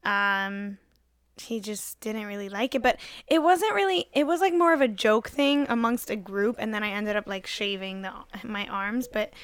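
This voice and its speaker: A woman co-hosting a casual podcast, blunt and quick to swear and laugh. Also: medium pitch, smooth, no echo, clean studio sound. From US, of Dominican and Puerto Rican family. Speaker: podcast co-host.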